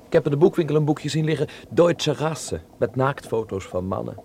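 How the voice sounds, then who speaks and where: A man's voice: a little high, expressive, moderate pace, gravelly, dark tone, slight background noise. An actor playing a genial, curious and easygoing Dutchman abroad in a radio drama. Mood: skeptical. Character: genial, curious Dutchman newly living in Berlin.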